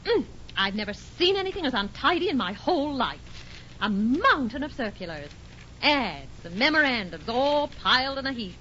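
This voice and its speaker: A woman playing a brisk, bossy, expressive housewife in a 1940s radio situation comedy. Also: sits high, exaggerated voice. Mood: exasperated. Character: brisk, no-nonsense housewife taking over the office. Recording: muffled 1940s radio recording, faint noise.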